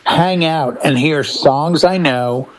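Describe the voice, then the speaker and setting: A man's voice: a little high, slow, rough recording. A middle-aged American man in a casual panel chat, telling stories as a DJ and acting out bits of dialogue. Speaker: DJ and podcast panelist.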